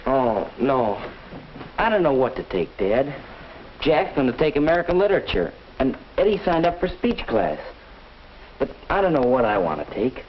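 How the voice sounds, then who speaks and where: A young actor playing an earnest, eager high school student in a 1950s instructional film. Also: male voice, big pitch swings, moderate pace, natural voice. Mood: unsure. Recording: muffled old film soundtrack, faint noise.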